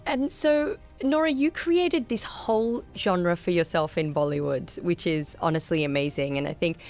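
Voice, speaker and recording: A woman's voice: lowish voice, moderate pace, a little mellow, faint music behind. A woman hosting a podcast, interviewing her guest in a conversational way. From originally from Afghanistan.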